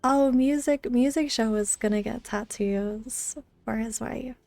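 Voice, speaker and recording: A woman's voice: a little high, a little slow, a little mellow. A woman live streamer chatting casually and in a friendly way with her viewers while gaming. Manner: friendly, greets viewers by name. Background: game music.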